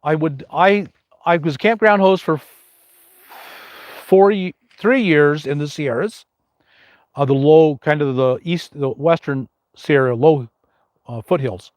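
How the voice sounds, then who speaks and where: A man's voice: fairly high pitch, unhurried, pauses often, dry recording. An older man with a big, carrying voice hosts a casual live question-and-answer stream, rambling with many fillers and restarts.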